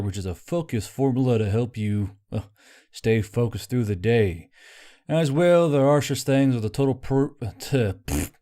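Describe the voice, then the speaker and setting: Mellow-voiced man doing a casual sponsor read. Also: mid-range voice. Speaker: channel host.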